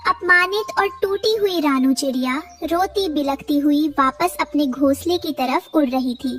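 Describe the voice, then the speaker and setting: A woman's voice: sits high, measured pace, far from the mic, soft background music. A woman narrating a dramatic cartoon story in a scripted storytelling style.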